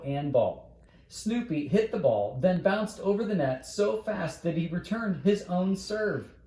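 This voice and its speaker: Male storyteller for children's read-aloud, slightly high voice, very expressive intonation, roomy home sound.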